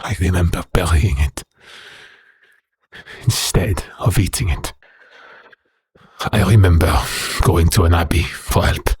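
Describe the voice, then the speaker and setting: An actor playing a bitter, haunted werewolf prisoner in an audio drama, speaking in a slow, brooding, halting way with a rough voice. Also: male voice, sits low, darkish tone, no echo, exaggerated voice. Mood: haunted sorrow. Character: bitter haunted male werewolf prisoner recounting his past.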